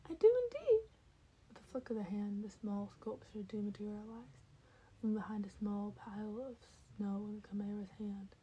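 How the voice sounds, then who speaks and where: A woman reads a story aloud in a very dark, soft-edged voice at a middle pitch. It is amateur narration with small stumbles and repeated phrases, recorded with faint background noise. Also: monotone, normal speed, highs cut off. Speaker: podfic reader. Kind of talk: amateur fan fiction audiobook narration.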